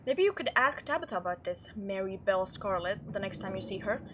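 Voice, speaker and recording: A woman's voice: medium pitch, brisk. A young woman playing a visual novel, reading it aloud expressively with playful asides. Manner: animated, expressive read-aloud. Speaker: game streamer. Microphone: home microphone. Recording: noisy home microphone recording.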